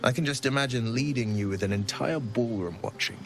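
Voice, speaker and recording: Guy acting a noble hero type from a fantasy game, courtly, earnest, kinda bashful, mid pitch, faint music behind. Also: a little fast, lightly acted. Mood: playful, wistful. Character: gallant, wistful, modest male adventurer of noble upbringing.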